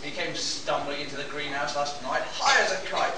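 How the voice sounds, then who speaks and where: A man's voice: a little high, moderate pace, lightly acted. A man playing a hot-tempered, indignant bruiser of a pilot in an amateur radio play. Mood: aggrieved, impassioned. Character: hot-tempered big bruiser pilot, defensive, passionate about his flowers. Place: live stage performance at a convention. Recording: distant echoey room, noisy live recording.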